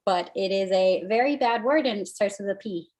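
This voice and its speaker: A woman with a high-pitched voice, a doll artist chatting as a guest and laughing often. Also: moderate pace, darkish tone. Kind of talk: casual chat.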